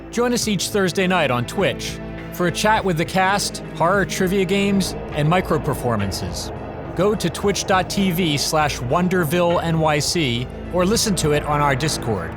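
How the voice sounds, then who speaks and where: A man's voice: fairly high pitch, very animated, unhurried. A man reading a scripted podcast outro as the announcer. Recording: music bed under the voice.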